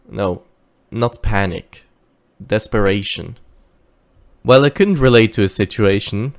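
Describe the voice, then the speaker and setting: A man playing a dutiful, wary driver who recounts his tale in measured audio drama narration. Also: fairly low pitch.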